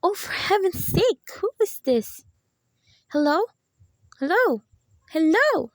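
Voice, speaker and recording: A woman's voice: very high-pitched, big pitch swings, takes it slowly, exaggerated voice. A woman playing a nervous, irritable bedridden woman in a radio play, querulous, demanding and melodramatic. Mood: irritated, indignant.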